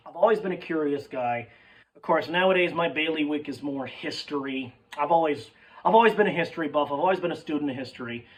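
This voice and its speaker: A young man with a hoarse, cracking voice telling a rambling, sidetracked story in casual chat, swearing freely and calling his listeners folks. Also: fairly high pitch, fast, distant mic, poor audio. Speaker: sports fan vlogger.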